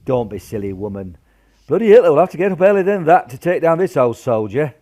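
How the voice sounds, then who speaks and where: Blustery old grandad acted by a man, lively swooping delivery. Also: a little high, quick pace. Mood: proud, reassuring. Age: older. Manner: blustery, reassuring, colloquial. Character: gruff, cheery old grandfather, proud wartime shelter builder. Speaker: amateur radio play actor. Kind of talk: radio play acting.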